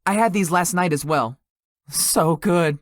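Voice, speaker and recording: A woman's voice: on the low side, measured pace, no room sound, studio quality, natural voice. A woman voicing a shy, easily flustered, sweet young man in a visual novel, with a hesitant manner. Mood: friendly, gentle. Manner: hesitant, stammering when embarrassed. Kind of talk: visual novel character acting.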